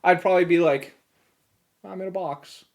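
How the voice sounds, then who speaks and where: A man's voice: high-pitched, steady tone, normal speed, a little mellow. A man co-hosting a podcast in casual, comedic chat, with a laid-back, jokey manner. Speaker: podcast co-host.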